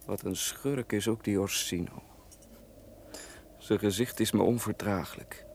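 Earnest officer, faint recording noise. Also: male voice, lowish voice, measured pace, gravelly, a little mellow. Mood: disdainful. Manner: formal, courteous, declamatory. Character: earnest, guarded young officer, proud and honourable. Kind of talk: Dutch radio drama acting. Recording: noisy old radio play recording.

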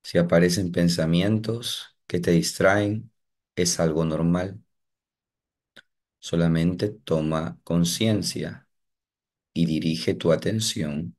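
A man guiding a meditation, giving slow, calm instructions with long pauses. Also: low voice. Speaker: meditation guide. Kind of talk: guided meditation.

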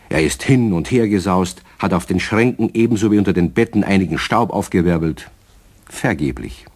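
An actor as the storyteller of a children's radio play, narrating in a husky voice with a southern German accent. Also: male voice, deep voice, a little mellow.

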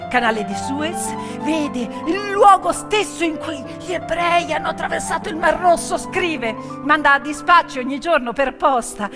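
A woman with a fairly high voice delivers a theatrical story. Her phrasing is emphatic and full of deliberate pauses. Piano music plays clearly behind her. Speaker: stage storyteller and actress. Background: piano accompaniment.

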